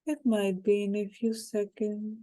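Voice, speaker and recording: A woman guiding a hypnosis meditation in a calm, unhurried, soothing way, with short phrases and pauses. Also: mid-range voice. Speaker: hypnosis guide.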